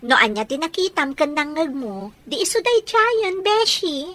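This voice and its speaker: An actress playing a lively, easily flustered young working woman in love, in an Ilocano radio comedy drama. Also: high voice, a little slow, slight background noise, full character voice. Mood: flustered, teasing.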